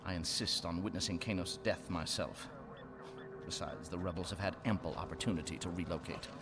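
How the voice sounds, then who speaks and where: A man's voice: low-pitched, fairly even intonation, brisk. An actor playing a cruel, imperious male warlord who barks threats and clipped orders in a space opera audio drama. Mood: cold, commanding. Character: menacing Imperial warlord, a cruel commanding man.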